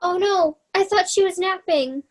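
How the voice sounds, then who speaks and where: A woman's voice: very high voice, unhurried. A woman playing a playful, plucky young Cinderella in a children's theatre staged reading, with a theatrical manner. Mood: panicked.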